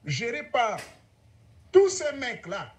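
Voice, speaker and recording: A man speaks in a high, swooping voice at a conference. His first language is Ngomienne, and his speech is oratorical and sweeping, with faint hiss in the background. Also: wildly swooping pitch, slow.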